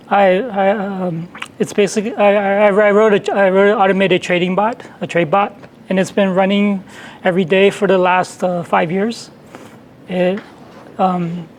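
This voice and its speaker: Hoarse, halting man presenting. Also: sits high, slight background noise. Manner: halting, many restarts and repeated words. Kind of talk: technical meetup presentation.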